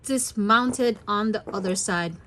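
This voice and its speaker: A woman's voice: mid-range voice, slow, a bit bright, slight background noise. A woman reading a story aloud expressively for young children. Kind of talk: children's read-aloud narration.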